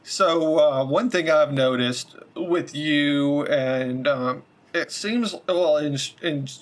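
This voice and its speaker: Casting: male host, laid-back interviewer, conversational questions. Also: mid-range voice. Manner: relaxed, asks questions with a few fillers.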